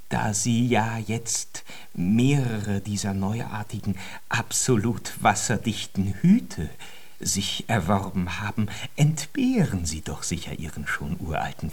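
Fawning biographer with swooping intonation. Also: male voice, fairly low pitch, breathy edge, heavily acted. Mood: pleading. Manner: obsequious, ornate, ceremonious. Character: fawning, long-winded male biographer of a great composer. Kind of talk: German radio drama acting.

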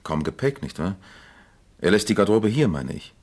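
An actor playing a calm, probing East German police captain in a radio crime drama, questioning in an official, deliberate way. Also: male voice, low voice. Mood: affable, probing.

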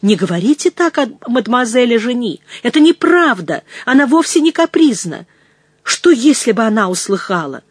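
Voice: mid-range voice, very animated, unhurried, husky, dry recording, studio quality. A narrator acting an earnest young servant woman. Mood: protesting. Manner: earnest, imploring, firm. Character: young enslaved woman, humble yet firm, agitated. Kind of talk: dramatised audiobook reading.

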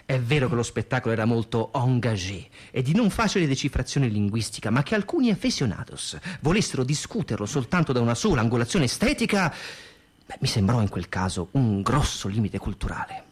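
A man's voice: mid-range voice, very animated, fairly quick, slightly rough. An actor playing a wry, nostalgic man narrating theatre memories for radio. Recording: music behind the voice. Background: music.

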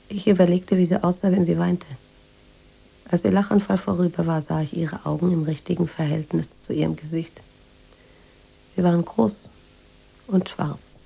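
A weary, introspective woman writer narrates her story in a radio play. Her voice is low and dark, with heavy fry. She speaks in a reflective, literary way. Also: poor audio. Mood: brooding. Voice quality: husky, creaky. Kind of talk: scripted radio drama narration.

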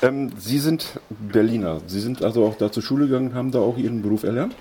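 A man's voice: mid-range voice, fast, dark tone, a little hiss or hum. A middle-aged man hosting a radio interview, asking questions formally and politely, with hesitant fillers. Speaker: radio host.

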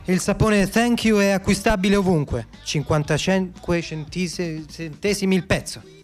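A male actor as a wartime radio announcer pitching soap. His voice sits high, with a chirpy, brisk salesman's delivery in the manner of an old-time commercial. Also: soft background music, natural voice. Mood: upbeat, selling.

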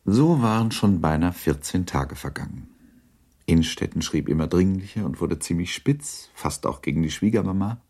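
A man's voice: low voice, animated, normal speed, dark tone, slightly rough. A man reading scripted literary narration for a radio drama.

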